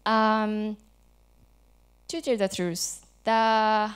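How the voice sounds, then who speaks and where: A woman from Japan with a Japanese accent, in her thirties, giving a conference presentation in halting, simple English with small restarts. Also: mid-range voice, little pitch movement, clean tone. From Japan, Hokkaido. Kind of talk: academic presentation with light jokes. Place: conference hall.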